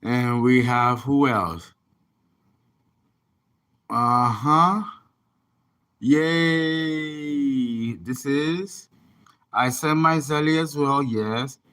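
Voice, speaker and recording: A man's voice: medium pitch, drawn-out words, long pauses, a bit bright, clear, resonant tone. A man, an astrologer and tarot reader, chatting casually on a livestream and rambling as he reads viewer comments aloud.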